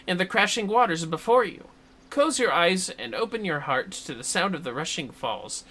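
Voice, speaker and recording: Woman voicing a solemn, lower-pitched shinobi master. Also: a bit bright. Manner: measured, grave, formal. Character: solemn, reverent shinobi master instructing a pupil. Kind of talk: game dialogue read aloud.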